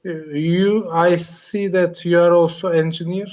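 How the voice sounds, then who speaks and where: A man's voice: sits high, little pitch movement, measured pace, darkish tone. A man from Turkey with a Turkish accent, speaking English as a learner on a video call, hesitant and full of fillers as he searches for words. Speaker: English learner, computer engineer. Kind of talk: casual online English lesson chat.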